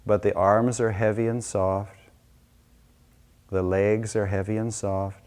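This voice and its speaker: A man leading a guided meditation as a teacher, giving slow, gentle, unscripted instructions with soft-spoken pauses. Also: lowish voice, level tone.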